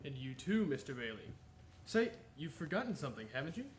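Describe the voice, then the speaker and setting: A man's voice: on the high side, big pitch swings, a little fast, a little hiss or hum. A man playing a polite, matter-of-fact bank teller in a radio play. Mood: polite puzzlement.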